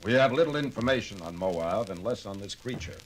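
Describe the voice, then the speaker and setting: An actor playing a calm, logical male science officer who speaks in a measured, precise and formal way. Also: fairly low pitch, slight background noise, close to an everyday voice, poor audio. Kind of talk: 1970s audio drama acting.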